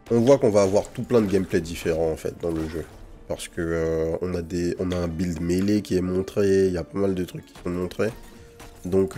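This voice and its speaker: A man's voice: sits low, mellow. A man from French Guiana giving casual first-impression commentary on a video game, in informal slang sprinkled with English gaming terms. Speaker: gaming channel host. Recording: music behind the voice. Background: game music.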